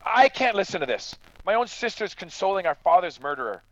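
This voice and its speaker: Radio drama role, male actor: bitter bereaved brother, accusing, raised pitch, a touch dull in recording. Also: big pitch swings, a little fast, fairly bright tone, no echo. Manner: indignant, accusing. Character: bitter, angry grieving son of a murdered farmer.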